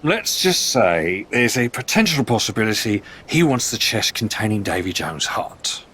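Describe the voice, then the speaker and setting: An actor playing a sly, evasive pirate captain in a video game, with a mannered, wordy delivery. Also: male voice, fairly low pitch, sing-song, moderate pace, sharp tone, breathy edge, full character voice. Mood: wary, evasive. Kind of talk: video game cutscene acting.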